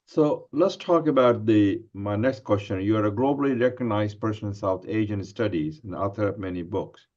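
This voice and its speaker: A man working as an interviewer, putting halting, formal questions to a guest on a somewhat muffled recording. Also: lowish voice, a little mellow. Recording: dull, lo-fi audio.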